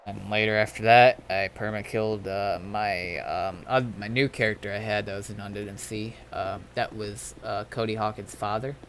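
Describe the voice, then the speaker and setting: A man giving a casual, rambling gaming commentary in a halting way, often trailing off. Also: lowish voice, slight background noise. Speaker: gaming video host. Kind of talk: casual rambling gameplay commentary recapping roleplay events.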